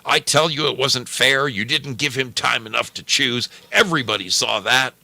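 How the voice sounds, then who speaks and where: A man voicing a defiant, aggrieved wife and mother who complains loudly and indignantly. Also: mid-range voice, moderate pace, gravelly, dry recording, voice pushed up, heavily acted. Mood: aggrieved protest.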